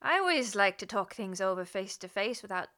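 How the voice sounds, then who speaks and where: A woman's voice: mid-range voice, animated, a little fast, pushed and bright. An actress playing a chatty, nosy policewoman neighbor in a radio play, with a folksy, garrulous manner. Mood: folksy, probing. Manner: folksy, garrulous, colloquial, chuckling.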